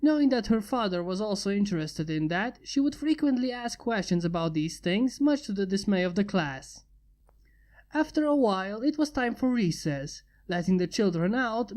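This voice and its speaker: A woman narrates a story in an even, steady reading voice. Her tone is mellow and warm, at an ordinary pitch. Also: moderate pace. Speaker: fanfiction reader.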